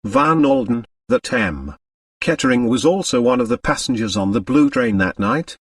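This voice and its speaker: A man's voice: medium pitch, sing-song, normal speed, slightly rough, no echo, clean studio sound, far from the mic. A man voicing a pompous French police commissary in an audiobook, deferential, portentous and cautious. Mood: discreet.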